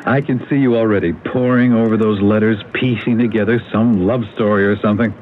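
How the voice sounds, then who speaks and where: A man's voice: on the low side, fairly even intonation, fairly quick, natural voice. Man acting eager husband on tinny old radio. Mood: teasing. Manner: teasing, enthusiastic, chatty with small hesitations. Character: playful husband, keen amateur photography buff. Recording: tinny, noisy old radio broadcast recording.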